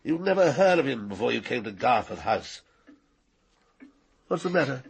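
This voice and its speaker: An actor playing an arrogant, cold-blooded older knight in a radio drama, precise and condescending. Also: male voice, mid-range voice, big pitch swings, normal speed, gravelly, breathy edge, exaggerated voice. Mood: cold, menacing. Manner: condescending, precise, coolly menacing.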